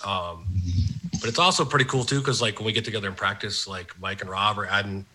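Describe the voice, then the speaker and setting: A man's voice: fairly low pitch, fast talker, bright, forceful tone, rough recording. A man who plays guitar in a band, explaining thoughtfully and hedging as he goes. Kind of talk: casual interview answers.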